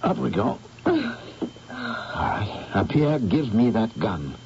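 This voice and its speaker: An actor playing a firm, take-charge English painter in a 1970s radio drama. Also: male voice, fairly low pitch, very animated, slow pace, creaky, a little mellow, exaggerated voice. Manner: firm, takes-charge, urgent. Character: commanding English gentleman painter. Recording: dull old radio broadcast, faint hiss.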